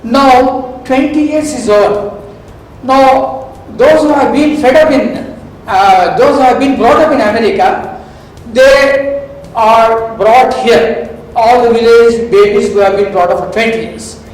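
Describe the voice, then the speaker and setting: Man lecturing in an echoey hall. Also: extremely high pitch. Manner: deliberate, repetitive, many pauses and fillers. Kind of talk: formal lecture. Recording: echoey hall, distant mic, faint music.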